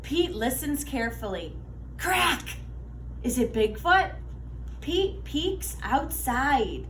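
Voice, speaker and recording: Woman storyteller, high lively pitch, unhurried, animated picture-book reading for kids, some background noise. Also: echoey room. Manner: animated, deliberate delivery for young listeners. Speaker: read-aloud storyteller for children. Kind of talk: expressive children's picture book read-aloud.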